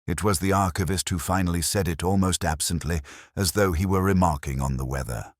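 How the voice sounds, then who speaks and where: A man narrating a scripted detective drama as a weary, reflective police inspector, in a brooding, measured, literary manner. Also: deep voice, no room sound, clean studio sound. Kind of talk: scripted first-person audio drama narration.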